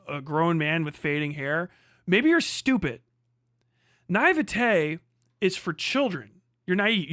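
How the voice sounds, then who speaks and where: Male streamer, high-voiced, dry close sound. Profane, argumentative reaction commentary, emphatic and repetitive. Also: a bit bright, dry recording. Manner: profane, combative, ranting. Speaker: livestreamer reacting to a video. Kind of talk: heated off-the-cuff commentary.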